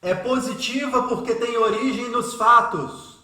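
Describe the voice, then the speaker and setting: An actor playing an earnest, humble religious orator and devoted son in a radio drama, with formal, didactic delivery. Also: male voice, very high-pitched, measured pace, bright, forceful tone, heavily acted. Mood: fervent, declaiming. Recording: roomy echo.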